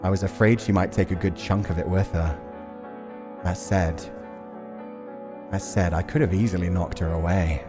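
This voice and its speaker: A man with a very low voice hosts a visual novel playthrough. He moves between casual, thinking-aloud commentary and narrated reading, with music clearly playing behind him. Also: fairly even intonation, normal speed, long pauses.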